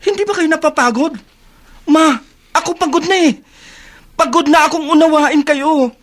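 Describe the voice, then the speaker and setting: An actress with a Filipino accent playing a weary, resentful daughter in a radio drama, plaintive in manner. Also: female voice, high-pitched, normal speed, lightly acted. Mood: hurt, resentful. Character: weary, resentful grown child feeling neglected.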